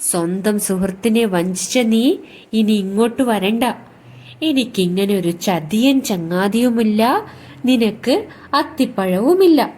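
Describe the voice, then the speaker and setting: A woman voicing a clever, quick-witted male monkey in a children's story, full of exclamations, friendly yet able to scold. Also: medium pitch, lively intonation, a little mellow. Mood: scolding, indignant.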